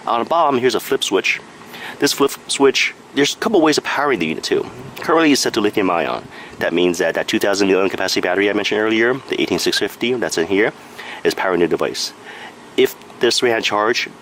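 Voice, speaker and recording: A man with a fairly low voice talks through a gadget demonstration. He is matter-of-fact and explanatory, like a casual reviewer, with a noticeable steady hum behind him. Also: rough recording. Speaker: product reviewer. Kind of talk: casual hands-on product review narration.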